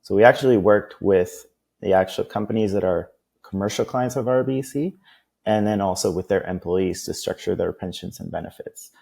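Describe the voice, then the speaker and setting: A man's voice: low voice, moderate pace, creaky, a little mellow, slightly rough. A man from Costa Rica, a guest on a business interview, talking at length in a loose, rambling way. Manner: talkative, many fillers and restarts.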